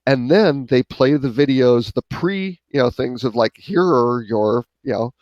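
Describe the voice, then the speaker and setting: A man's voice: mid-range voice, expressive, a little slow, a little mellow, no echo, dull audio. A man co-hosting a live marketing show, chatting casually and thinking aloud with fillers. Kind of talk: casual live-stream discussion.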